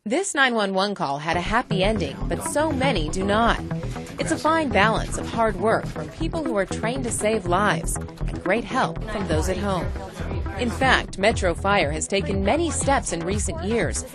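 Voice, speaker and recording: A woman's voice: medium pitch, expressive, normal speed, over loud music. A woman reading scripted documentary narration as the narrator of a local news-style segment.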